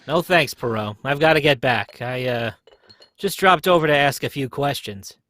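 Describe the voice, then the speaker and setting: A man playing a brisk, skeptical police inspector in an old-time radio mystery, blunt and colloquial. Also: medium pitch, expressive, a bit bright, no echo, close to an everyday voice. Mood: brisk, questioning.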